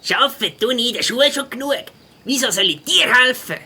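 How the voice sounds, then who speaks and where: A woman playing a cheeky, stubborn young schoolboy hedgehog in a Swiss German children's audio drama, with a husky voice and cocky slang. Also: on the high side, animated, fairly quick, pushed and bright, heavily acted. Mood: sulky.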